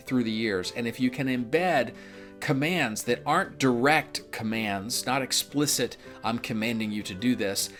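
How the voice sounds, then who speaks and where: A man with a mid-pitched voice talks in a casual, instructional style. He is from Memphis and explains a script conversationally, point by point. Soft guitar music plays faintly behind him. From Memphis, United States. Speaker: real estate investor and instructor.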